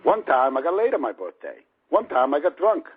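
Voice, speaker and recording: A man's voice: a little high, very animated, brisk, husky. A man in his fifties, an author reading his own book prologue aloud in a wry, conversational manner. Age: 50s. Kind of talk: audiobook narration of a personal prologue. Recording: muffled, lo-fi radio-like sound.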